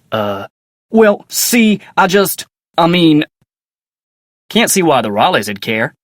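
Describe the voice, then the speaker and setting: An actor playing a polite, earnest young ranch hand in a video game, with a folksy American Western drawl and a plainspoken way of talking. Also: male voice, fairly high pitch, sing-song, slow pace, no room sound, studio quality, full character voice. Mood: flustered. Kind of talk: video game character dialogue.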